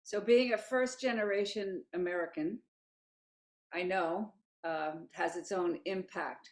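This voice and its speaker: A woman's voice: on the low side, measured pace, a little mellow. A woman hosting an interview, steering the talk firmly with structured questions. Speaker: interview host.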